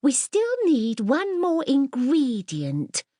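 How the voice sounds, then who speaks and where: A woman's voice: sits high, huge pitch swings, takes it slowly, warm tone, dry recording, studio quality, pitched above the performer's own voice, full character voice. A woman voicing a boastful, mischievous young princess in a grand, bossy, theatrical manner for a children's audiobook. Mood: eager.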